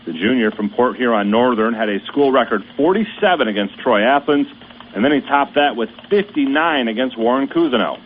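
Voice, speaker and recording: A man hosting a high school sports radio show, reading scripted news in a steady, measured announcer voice. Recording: tinny radio broadcast with faint hiss.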